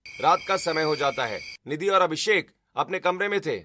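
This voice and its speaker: A man's voice: on the high side, lively intonation, moderate pace, sharp tone, soft background music. A man reading scripted narration for a family drama cartoon story.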